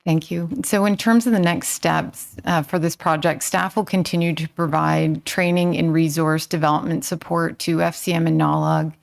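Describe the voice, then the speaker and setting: Woman, town staff presenter, businesslike, gravelly voice, flat pitch. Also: moderate pace, few pauses. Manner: businesslike, fluent. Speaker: municipal staff member. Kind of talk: council meeting presentation.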